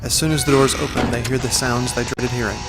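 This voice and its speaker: Fast, even-toned male narrator over music. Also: medium pitch. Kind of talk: scripted audio drama narration. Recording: music behind the voice.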